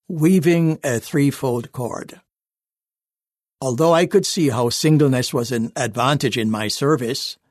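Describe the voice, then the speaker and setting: A man reading a first-person life story aloud in a measured, earnest scripted narration. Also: medium pitch, dry recording, studio quality. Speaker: reader of a magazine life story.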